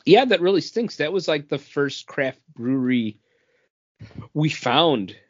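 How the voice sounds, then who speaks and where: A man's voice: a little high, lively intonation, a little slow, dry recording. Man chatting casually, dry muffled recording. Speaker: podcast co-host. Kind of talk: casual chat. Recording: dull, lo-fi, dry audio.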